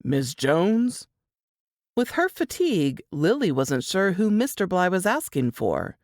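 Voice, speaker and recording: A woman reading scripted fiction aloud as narrator, in an expressive, deliberate, dramatic style. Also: fairly low pitch, dry recording, studio quality.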